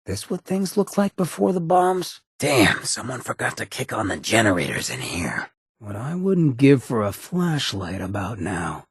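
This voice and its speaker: An actor voicing a roguish, wry ghoul mayor in a video game, with a raspy voice and a sly, streetwise, swaggering drawl. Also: male voice, lowish voice, no echo, clean studio sound, exaggerated voice. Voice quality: raspy, gravelly. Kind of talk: video game character dialogue.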